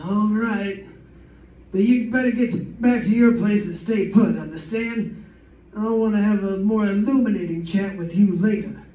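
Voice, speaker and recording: A man's voice: sits high, moderate pace, mellow, lightly acted. A man playing a sarcastic, clipped, bossy police detective in an old-time radio drama. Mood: exasperated. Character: sardonic, gruff-talking male police detective. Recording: roomy, distant stage pickup.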